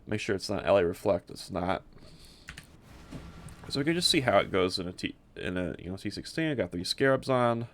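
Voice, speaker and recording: A man presenting a game build in a casual, unscripted way, rambling and halting as he often repeats words. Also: low voice, expressive, fairly bright tone, a little hiss or hum.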